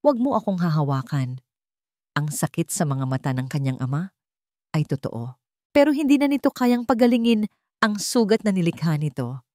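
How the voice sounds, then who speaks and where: Low-pitched woman narrating, very dry sound. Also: sing-song, normal speed, darkish tone, no echo. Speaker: storyteller. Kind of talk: dramatic scripted narration.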